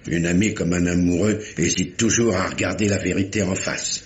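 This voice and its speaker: A man playing a stern examining magistrate in an old-style French radio mystery, formal, probing and deliberate. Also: low-pitched, fairly even intonation, lightly acted. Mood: grave, firm. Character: stern examining magistrate, paternal uncle, insistent and measured.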